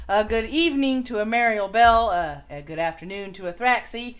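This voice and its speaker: A woman's voice: medium pitch, normal speed, clean tone, soft background music. A woman hosting a casual live-stream chat show.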